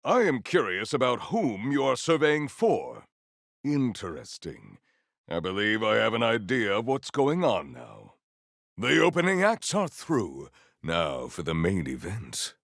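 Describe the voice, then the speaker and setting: Deep, deliberate, formal warrior hero. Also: male voice, huge pitch swings, gravelly, a bit bright, dry recording, studio quality, heavily acted. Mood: bold, commanding. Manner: stately, formal, deliberate diction with theatrical flourishes. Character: formal, valiant, earnest male warrior hero. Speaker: video game voice actor. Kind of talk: scripted video game character lines.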